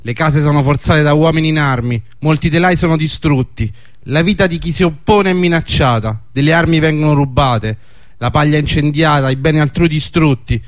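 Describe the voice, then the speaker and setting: A man plays an alarmed magistrate in a radio drama, giving a formal, declarative report. His voice sits fairly high and is recorded very dry, with no room around it. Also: fairly quick, dry recording, close to an everyday voice. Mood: grave alarm.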